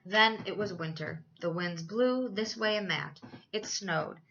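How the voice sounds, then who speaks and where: A woman's voice: mid-range voice, a little slow, creaky, fairly bright tone, muffled recording, slight background noise. A woman reading a children's story aloud as a teacher, expressively, with dramatic emphasis for young listeners.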